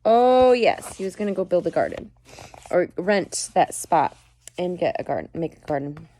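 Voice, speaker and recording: A woman's voice: lowish voice, animated, normal speed, dark tone, a little hiss or hum, poor audio. A mother reading a book aloud to her children at home, stopping often to comment and explain. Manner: chatty, stops to comment and explain to listeners.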